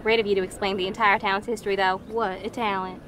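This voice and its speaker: A woman's voice: medium pitch, level tone, fast talker, fairly bright tone, a little hiss or hum, close to an everyday voice. A woman playing a no-nonsense, protective, wry townswoman in a western audio drama, blunt and folksy. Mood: wry, kindly.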